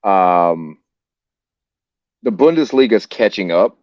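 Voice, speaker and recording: A man's voice: sits low, lively intonation, slow, darkish tone, no echo, lo-fi sound. A man hosting a podcast in casual chat, loose and profane with lots of filler words. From United States. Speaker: podcast host.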